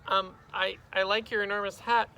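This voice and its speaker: A man's voice: high-pitched, little pitch movement, normal speed, sharp tone, slight background noise, pitched above the performer's own voice. A man voicing a timid, polite young schoolboy who speaks hesitantly and awkwardly in a storybook. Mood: nervous.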